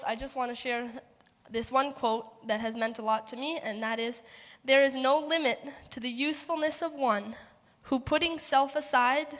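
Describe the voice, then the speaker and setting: An earnest woman finishes her testimony, reading scripture and a favorite quote aloud. Her voice sits a little high. A long hall reverb trails behind it. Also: a bit bright. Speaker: student giving a testimony.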